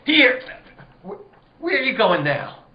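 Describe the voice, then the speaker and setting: A man's voice: extremely high pitch, huge pitch swings, takes it slowly, full character voice. An actor playing a suspicious, officious country man in a radio play, with rustic dialect and an easily rattled, stammering bluster. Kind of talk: theatrical radio-play acting. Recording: dull, echoey, noisy lo-fi recording.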